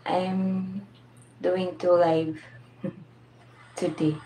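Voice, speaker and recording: A woman's voice: lowish voice, level tone, very slow, dark tone, a little hiss or hum, rough recording. A Filipina woman with a Filipino accent hosting a casual live stream, chatting with viewers, greeting them by name and laughing often. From Philippines.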